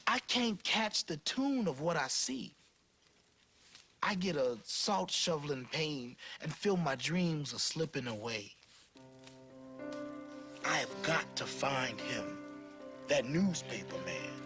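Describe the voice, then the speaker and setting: Animated, unhurried man reading a story over music. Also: on the high side. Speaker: story narrator. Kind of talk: expressive storybook read-aloud narration. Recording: clear music playing behind the voice.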